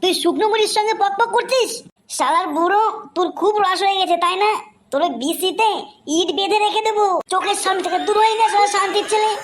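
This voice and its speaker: A woman's voice: very high-pitched, fast. A woman voicing a furious grandmother in a comic cartoon, scolding her cheating husband with crude threats. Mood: outraged.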